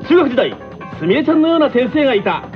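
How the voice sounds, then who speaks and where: A man hosting a radio talk show, reading out quiz questions in a lively, laughing manner. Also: very high voice, quick pace. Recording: dull lo-fi radio recording with music behind.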